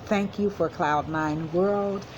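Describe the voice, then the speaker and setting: A woman school counselor speaking to young children in simple, encouraging words with deliberate emphasis, as in a read-aloud lesson. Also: on the low side, fairly even intonation, noisy background.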